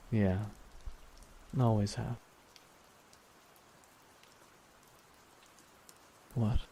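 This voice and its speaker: A man playing a brooding, grieving supervillain in a dramatic ASMR roleplay, speaking solemnly and haltingly with weighty pauses. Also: lowish voice, fairly even intonation, vocal fry, heavy background noise, exaggerated voice. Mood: wistful, resigned. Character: brooding, grieving male supervillain saying farewell to his nemesis. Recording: loud music and rain behind. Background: music and falling rain.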